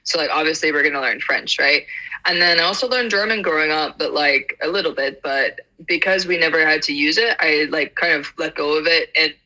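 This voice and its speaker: A woman's voice: low-pitched, fairly quick, sharp tone, dull audio. A woman in her mid-twenties with an American accent, chatting casually and often saying "like". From Georgia, United States. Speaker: polyglot guest. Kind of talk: casual chat.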